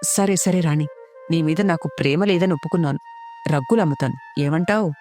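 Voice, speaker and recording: A woman's voice: sits low, fairly quick, a little mellow, soft background music, lightly acted. A woman voicing an easygoing crow husband in a cartoon story, casual and teasing. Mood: amused. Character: easygoing male crow husband, gently bossy.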